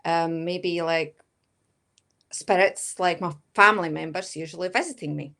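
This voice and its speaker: A woman's voice: fairly low pitch, normal speed, gravelly, bright, forceful tone. A woman in her thirties from Latvia with a Latvian accent tinged with Scottish, answering interview questions hesitantly with many fillers. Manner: hesitant, many fillers, often says kinda. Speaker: guest medium.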